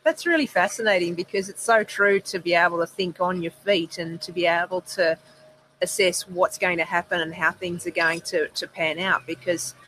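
A woman's voice: lowish voice, steady tone, moderate pace, pushed and bright, low-quality recording. A middle-aged Australian woman hosting a conversational interview over a video call from outdoors on an earphone mic. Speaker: interviewer, host. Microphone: wired earphone headset. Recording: clear background noise, outdoor ambience.

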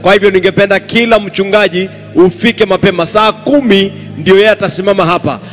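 A man's voice: high voice, fairly quick, a bit bright. A man with a Kenyan accent making long, flowing announcements and thank-yous to a night stadium crowd. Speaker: crusade organizer. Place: large outdoor stadium at night. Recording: reverberant stadium PA, faint music.

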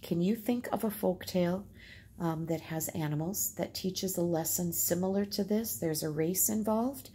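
A woman's voice: on the low side, moderate pace, dark tone, slight background noise. A woman teacher reading aloud and explaining in simple phrases, as in a storytime lesson.

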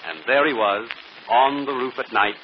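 A man's voice: medium pitch, normal speed, close to an everyday voice. A man playing a brilliant, self-assured detective in 1940s radio drama style, articulate and theatrical. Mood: confident, explaining. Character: brilliant, self-assured male detective explaining his solution. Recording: muffled, noisy old radio broadcast.

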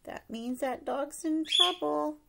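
A middle-aged woman reads a rhyming picture book aloud to young children in an expressive sing-song way, pausing to ask them questions. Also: high voice, gravelly, heavy background noise. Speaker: preschool story-time teacher reading aloud. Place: living room at home, indoors.

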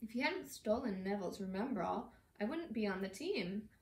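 A woman's voice: medium pitch, animated, moderate pace, lightly acted. A woman voicing a cheeky, amused boy wizard from a storybook, in an echoey room. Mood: chortling. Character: gleeful, cheeky young boy wizard hero. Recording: roomy echo, distant mic, faint noise.

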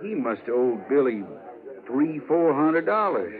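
Folksy American frontier skinner, old radio. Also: male voice, medium pitch, big pitch swings, measured pace, a crowd in the background. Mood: dawning suspicion. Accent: rustic American. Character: plain-spoken, gritty frontier buffalo skinner. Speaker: actor in radio western. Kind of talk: 1950s radio western acting. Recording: narrow-band 1950s radio, background noise.

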